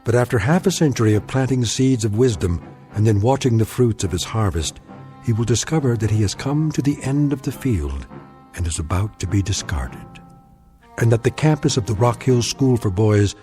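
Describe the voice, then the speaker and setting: A solemn male narrator played by an actor introduces eerie tales in a deliberate, ominous way. His voice is fairly low and very varied in pitch, with faint music underneath. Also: lively intonation, dark tone, close to an everyday voice. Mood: ominous, measured. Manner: deliberate, ominous, measured phrasing. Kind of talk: scripted dramatic narration.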